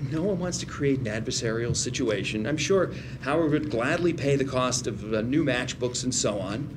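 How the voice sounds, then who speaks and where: An actor playing a proud, proper older lawyer brother, earnest, precise and placating, at a script table read. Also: male voice, medium pitch, animated, normal speed, noisy background, natural voice.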